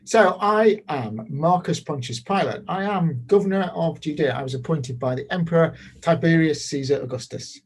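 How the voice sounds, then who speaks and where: Man acting as Roman governor, slightly high pitch, rough-edged voice, hesitant, conversational, self-justifying, dismissive, courtroom drama. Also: normal speed, hardly any pauses. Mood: defensive, explaining.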